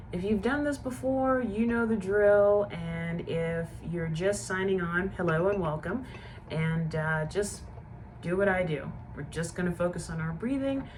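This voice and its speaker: A woman with a stuffy, nasal voice from a cold, hosting a tea vlog in a gentle, savoring way and leading a guided breathing meditation. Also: medium pitch, noisy background. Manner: gentle, savoring, softly enthusiastic. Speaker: tea and book channel host.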